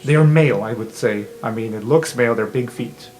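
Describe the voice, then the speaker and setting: Game master improvising a fantasy scene, animated n descriptive, music going behind. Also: medium pitch, brisk, rough recording. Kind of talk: improvised tabletop game narration.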